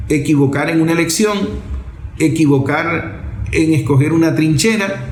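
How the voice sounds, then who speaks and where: A man's voice: a little high, reverberant. A man delivering a political commentary monologue emphatically, leaning on rhetorical questions and repeated phrases.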